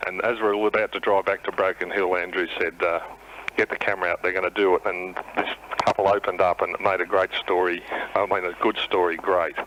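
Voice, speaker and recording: Deep laconic Australian man, noisy phone line. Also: flat delivery, brisk, fairly bright tone. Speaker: TV cameraman, radio interview guest. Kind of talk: informal anecdote telling. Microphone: telephone. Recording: noisy telephone line on radio.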